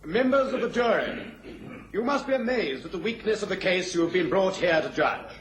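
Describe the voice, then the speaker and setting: An actor playing a pompous, theatrical defence barrister, declaiming in a radio drama courtroom. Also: male voice, high voice, animated, normal speed, echoey room, a little hiss or hum, poor audio. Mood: scornful, oratorical.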